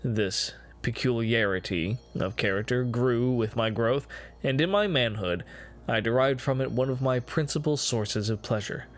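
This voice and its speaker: A man gives a dramatic reading of a gothic horror story, with measured, deliberate phrasing and dramatic pauses. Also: medium pitch. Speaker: amateur reader of a classic horror story. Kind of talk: dramatic reading of gothic first-person fiction. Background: music behind the voice.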